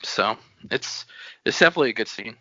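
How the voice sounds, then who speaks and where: A man chats about a book with friends, often starting a sentence over before finishing it. His voice is very bright and tight. The recording sounds dry and a little dull. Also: medium pitch, expressive, brisk, no room sound. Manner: chatty, restarts sentences often. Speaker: podcast co-host. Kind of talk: casual book discussion.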